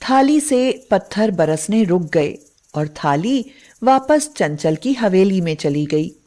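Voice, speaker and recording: A woman narrating a scripted moral story in an expressive, deliberate storytelling manner. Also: medium pitch.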